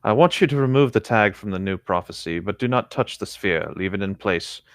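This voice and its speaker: Man, fairly low pitch, dry recording, casual fiction reading, co-host commentary. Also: expressive, fairly quick, no room sound. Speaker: co-host of a fanfiction reading show.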